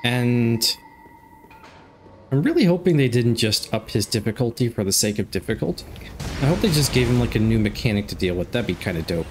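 A young man with a stuffed-up nose giving casual, jokey commentary while playing a game, breaking into excited outbursts. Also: medium pitch, a little fast, over music. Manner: chatty, jokey, excitable outbursts during fights. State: stuffed up from a cold. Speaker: gameplay commentator. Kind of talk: casual let's play commentary. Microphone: desk microphone.

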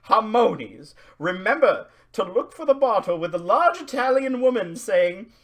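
A man playing a cheerful pitchman reading a parody old-time radio commercial. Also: sing-song, normal speed, fairly bright tone, exaggerated voice. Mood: upbeat. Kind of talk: mock radio ad read.

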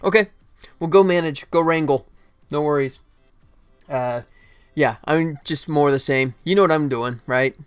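A man's voice: fairly high pitch, measured pace, long pauses, clean tone, soft background music. A middle-aged man chatting casually and wryly on a livestream. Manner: easygoing, wry, self-deprecating. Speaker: game developer livestreamer. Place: indoor desk, livestream webcam setup.

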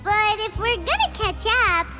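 A woman's voice: very high-pitched, sing-song, a little slow, heavily acted. A woman voicing a small, chirpy, childlike fairy companion in a video game, curious and frank. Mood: skeptical. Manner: refers to self in third person, exclaiming. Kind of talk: video game character dialogue. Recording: music playing behind the voice.

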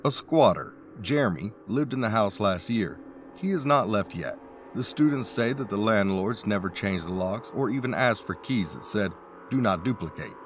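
Low-voiced man narrating a countdown. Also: lively intonation, moderate pace, a little hiss or hum. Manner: wry asides between facts.

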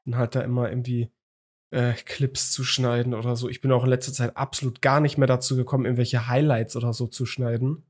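A man's voice: mid-range voice, little pitch movement, fast talker. A young man hosting a podcast from a small home room into a studio mic, chatting casually and rambling with lots of fillers and restarts. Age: young adult. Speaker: podcast host. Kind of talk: casual chat. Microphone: studio mic on a boom arm, video call.

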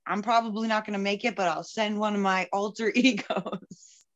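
A woman's voice: medium pitch, fairly even intonation, moderate pace, no echo. A woman, a poet at an open mic, speaking over a video call from home. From Alberta, Canada. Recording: dull, lo-fi video call audio.

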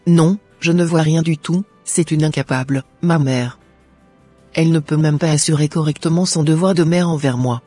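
A woman's voice: sits low, normal speed, mellow, husky, no echo, faint music behind. A woman voicing a resentful, ungrateful young student daughter in a cartoon, complaining with scorn.

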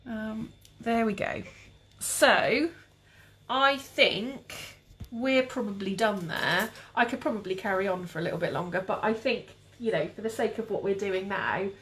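Breathy woman teaching cake painting. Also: mid-range voice, unhurried. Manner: calm, relaxed, chatty with viewers. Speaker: cake decorating tutor. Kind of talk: live demonstration with chat.

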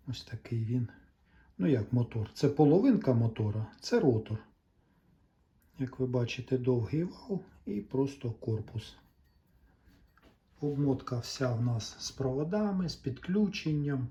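A man gives an unscripted hands-on repair tutorial, explaining patiently step by step with short pauses. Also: medium pitch, animated, warm tone. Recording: roomy echo, faint background noise.

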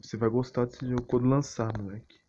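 A man's voice: medium pitch, steady tone, fast talker, dark tone. A man with a Brazilian accent giving casual, off-the-cuff gaming commentary in a slangy, rambling, repetitive way. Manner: slangy, rambling, repeats himself. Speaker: gaming YouTuber. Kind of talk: casual off-the-cuff commentary on fan game images and videos. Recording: muffled, noisy phone recording with clicks.

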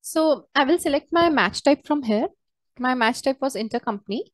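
High-pitched woman giving software tutorial. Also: animated, normal speed, no echo, clean studio sound. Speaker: software trainer. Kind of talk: screen-share software tutorial, unscripted walkthrough.

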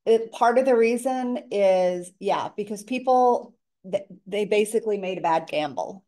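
A woman presenting an informal seminar in a casual, chatty way, her voice raspy from a cold. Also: mid-range voice, moderate pace, dark tone. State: tail end of a cold. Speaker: presenter, elder care coordinator.

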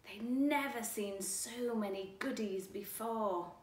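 A woman in her forties reading a rhyming children's storybook aloud with expressive, deliberate phrasing. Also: mid-range voice. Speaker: storytime reader. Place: living room, indoors. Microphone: camera a few feet away. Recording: echoey room, distant mic, faint noise.

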